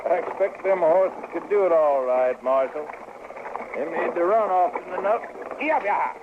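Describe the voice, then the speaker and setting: High-voiced folksy stagecoach driver. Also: male voice, expressive, moderate pace. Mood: folksy, amused. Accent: rural American West. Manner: folksy, drawling, chuckling. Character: chuckling old-West stagecoach driver, folksy and easygoing. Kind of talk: old-time radio western acting. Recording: tinny, very noisy old radio recording.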